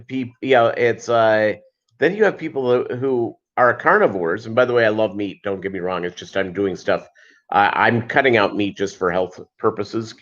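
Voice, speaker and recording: A man hosting a casual livestream, chatting with viewers in a halting way and wandering between asides. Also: lowish voice. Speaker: livestream host. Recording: dull lo-fi livestream audio.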